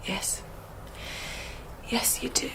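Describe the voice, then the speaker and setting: A woman's voice: extremely slow, fairly bright tone, in a whisper. A woman playing a weary, overwrought working-class mother in a radio drama, pleading and tender, with a North East English accent. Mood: tender, tearful. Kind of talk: naturalistic 1990s radio drama acting.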